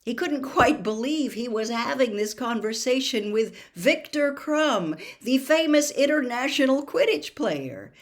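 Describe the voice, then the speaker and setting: Expressive woman narrator with lively pitch. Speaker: storybook narrator. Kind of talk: expressive audiobook-style reading.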